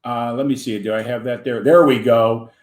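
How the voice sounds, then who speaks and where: American guy hosting a live show, mid-pitch, rambly with lots of you knows, echoey room. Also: fairly even intonation, normal speed. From United States. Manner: rambling, many fillers. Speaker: host. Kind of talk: online interview show. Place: home in Wisconsin.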